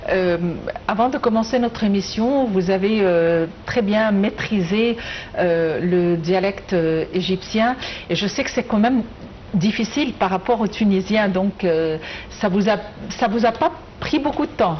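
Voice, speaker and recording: A woman hosting a TV interview, asking her guest questions in a measured, formal way. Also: medium pitch, lo-fi sound, a little hiss or hum. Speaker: TV host.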